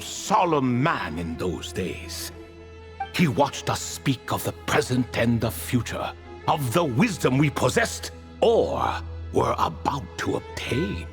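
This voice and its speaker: A man's voice: a little high, creaky, heavily acted. A man voicing a proud, ancient ibis king in a video game, telling stories in a formal, nostalgic, theatrical way. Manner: theatrical, rambling, formal storytelling. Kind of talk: video game character acting.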